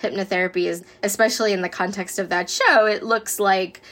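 A woman's voice: mid-range voice, steady tone, brisk, fairly bright tone. A woman interviewee talking casually and animatedly, often quoting her own reactions. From born in Korea, adopted in the US.

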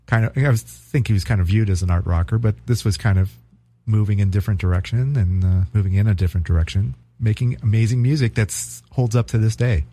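A man co-hosting a music podcast, chatting casually and reading quiz questions aloud with some halting restarts. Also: fairly low pitch. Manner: relaxed, halting, reads quiz cards aloud. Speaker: podcast co-host.

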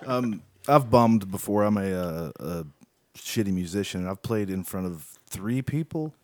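A man's voice: low-pitched, expressive, darkish tone, no echo. A man, a musician, joking crudely in casual podcast chat. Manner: crude, quick, laughing.

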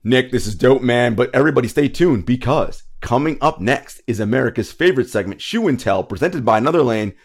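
A young man hosting a relaxed podcast, his pitch bouncing all over as he talks. He laughs easily and hypes up his segments. He sounds upbeat and loose. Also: fairly quick, creaky, hardly any pauses. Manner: animated, laughs often, hype delivery. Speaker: host. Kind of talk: casual podcast chat.

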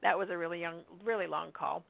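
A slightly hoarse woman hosting a call, chatty and upbeat, answering listener questions with lots of fillers. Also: on the low side, steady tone, fast, dry recording. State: slightly hoarse from long talking. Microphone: phone or conference line. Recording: dry tinny phone-line audio.

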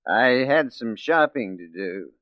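A determined 40s radio detective, theatrical swoops in pitch, muffled old recording. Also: male voice, animated, takes it slowly, no echo. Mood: meek, evasive. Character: resolute, righteous male detective working undercover as a secretary. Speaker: detective lead in a radio drama. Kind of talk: 1940s radio acting.

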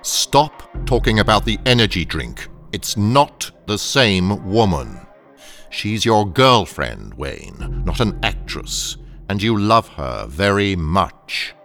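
Deep, gravelly, sinister corporate trainer. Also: male voice, very animated, a bit bright, faint music behind, heavily acted. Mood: stern, impatient. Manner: slow, deliberate, coaxing. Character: ruthless, sinister male corporate training engineer, smooth and controlling. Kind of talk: audio drama acting.

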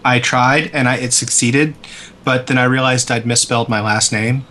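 A man's voice: medium pitch, fairly even intonation, moderate pace, bright, forceful tone. A man co-hosting a podcast, chatting casually with a wry, joking manner. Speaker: podcast co-host. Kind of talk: casual chat, anecdotes.

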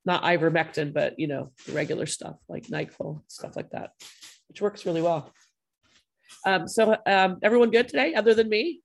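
A woman hosting a podcast over a video call from home, her voice hoarse from a cold, chatting casually with plenty of fillers. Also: lowish voice, moderate pace. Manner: chatty, friendly, loose with fillers. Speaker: podcast host.

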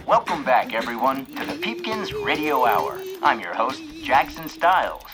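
An actor playing a showy, arch radio-hour host, narrating theatrically. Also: male voice, mid-range voice, wildly swooping pitch, moderate pace, over music.

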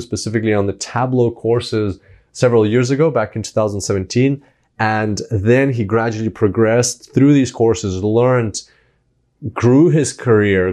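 A man's voice: fairly low pitch, a little mellow. Enthusiastic man hosting a podcast. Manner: enthusiastic, filler-heavy talk. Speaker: podcast host. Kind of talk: podcast intro and interview.

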